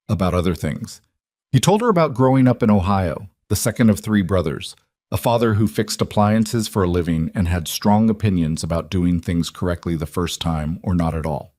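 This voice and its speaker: Low-pitched man narrating a story. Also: a little mellow, no room sound, clean studio sound.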